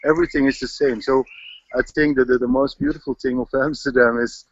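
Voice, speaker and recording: A man from the Netherlands with a Dutch accent, answering interview questions in a halting way, often repeating words while searching for them. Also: medium pitch, steady tone, no echo. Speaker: local resident. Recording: dull lo-fi audio.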